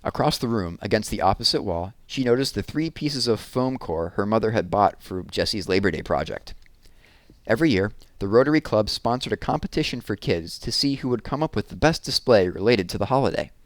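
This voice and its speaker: A man narrating a children's book aloud with expressive storytelling. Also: fairly low pitch, brisk, no echo.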